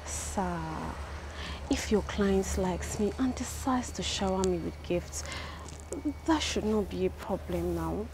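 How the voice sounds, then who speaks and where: A woman's voice: medium pitch, slow pace. A young woman with a Nigerian accent, acting a clinic receptionist in a film drama, talking defensively and pleading her case. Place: outdoors on a clinic balcony. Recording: outdoor ambience with clear noise.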